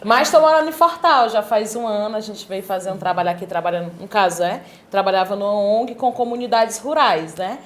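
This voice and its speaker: A woman's voice: mid-range voice, fairly quick, few pauses. A woman from Ceará with a Cearense accent, giving reflective interview answers full of tag questions. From Sobral, Ceará, Brazil. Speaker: guest, cultural worker.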